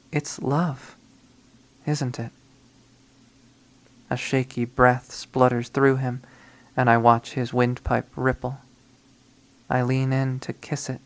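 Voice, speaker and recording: A lovesick, obsessive young woman who has turned to killing speaks in a hushed, brooding monologue, as a man reads her lines aloud. Her pitch sits in a mid range, and she takes her time, letting each line hang slowly. This is a dramatic first-person visual novel readthrough.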